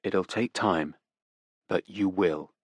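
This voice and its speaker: A man voicing a gentle police detective in an audiobook, speaking softly and low. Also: takes it slowly, slightly rough, no room sound, exaggerated voice. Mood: soothing. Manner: soft, low and reassuring. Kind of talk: audiobook character voice.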